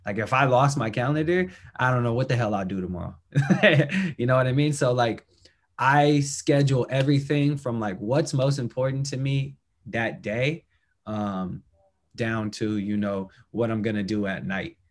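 A young man hosting a chat podcast, friendly and talkative, with plenty of fillers and quick agreements. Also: medium pitch. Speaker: podcast host. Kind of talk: casual interview chat.

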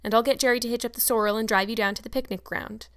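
A stern, sharp-tongued older farm woman with a brisk, no-nonsense manner, played by a woman narrating a storybook. Her scolding comes a little higher and firmer than the reader's own voice. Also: fairly even intonation, clear, resonant tone, no echo, studio quality, natural voice. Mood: relenting. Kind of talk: audiobook character reading.

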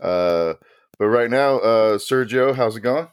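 A man's voice: fairly low pitch, measured pace, clear, resonant tone, no echo. A man co-hosting a podcast, talking in a laid-back way with loose phrasing. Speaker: podcast co-host. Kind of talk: casual podcast talk.

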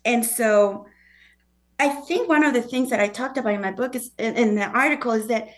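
A woman's voice: fairly high pitch, moderate pace. Earnest woman with a foreign accent. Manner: earnest, acts out quoted voices. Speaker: guest author. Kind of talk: conversational interview.

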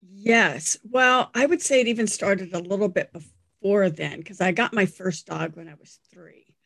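A woman's voice: medium pitch, fairly bright tone, no echo. A middle-aged woman from Denver with a scratchy voice, chatting as a podcast guest and telling stories with easy laughs. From Denver, United States. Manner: chatty, storytelling, quick to laugh. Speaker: podcast guest, animal talent agent. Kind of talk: casual conversation.

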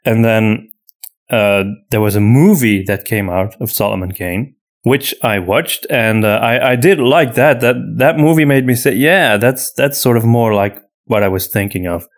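A man talking casually and unscripted as a podcast host, often halting and repeating himself as he searches for words. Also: medium pitch. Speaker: podcast host and story writer answering listener questions.

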